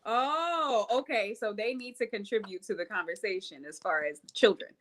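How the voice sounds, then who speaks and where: A woman's voice: mid-range voice, normal speed, a bit bright. A woman in casual group conversation, tossing in teasing interjections.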